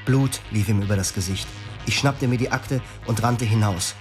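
A haunted husband, played by an actor tells his own story in the first person, with an intense, brooding delivery. His voice sits fairly low. Faint music runs underneath. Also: normal speed, close to an everyday voice. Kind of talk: radio drama monologue.

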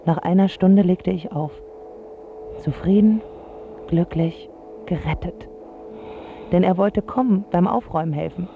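A woman's voice: on the low side, unhurried, long pauses, soft background music. An actress playing a vulnerable young woman in love, telling of her boyfriend in short, confiding phrases in a radio drama. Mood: relieved. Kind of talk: radio drama, intimate first-person narration. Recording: thin phone-like band-limited sound.

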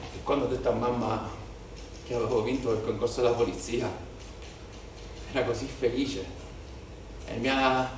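An actor playing a weary, bitter policeman and shepherd's son from southern Italy in a declamatory theatrical monologue with dramatic pauses and repetitions. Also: mid-range voice, a little mellow, natural voice. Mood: subdued, wistful. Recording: very echoey theatre, distant mic, faint noise.

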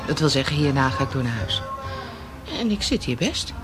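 A woman's voice: extremely low pitch, very animated, moderate pace, over music. An actress playing a composed, dryly witty policewoman in a naturalistic radio drama, asking probing questions in an understated way. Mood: relaxed, dry.

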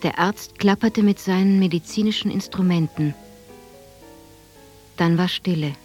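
An actress playing a reflective woman recalling her childhood, narrating a radio drama in measured, plain sentences. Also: fairly low pitch, a little mellow, soft background music, close to an everyday voice.

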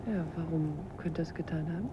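An actress playing a detective's curious, lightly teasing wife in a 1950s radio play. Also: female voice, deep voice, fairly quick, dull audio, lightly acted. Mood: puzzled. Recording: noisy old radio drama recording.

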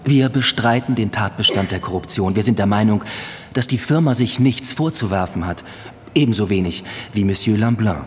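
An actor playing a guarded, evasive company executive with a formal, measured manner in a radio drama. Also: male voice, fairly low pitch, long reverb tail, slight background noise, lightly acted. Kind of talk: radio drama acting with murmured inner monologue.

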